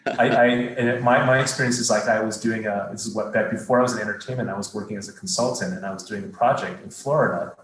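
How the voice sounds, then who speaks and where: Low-voiced man in a roomy room. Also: fairly even intonation, fast talker, fairly bright tone, a little hiss or hum. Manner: thoughtful, many fillers and restarts. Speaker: TV studio executive. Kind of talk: interview answers. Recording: roomy echo.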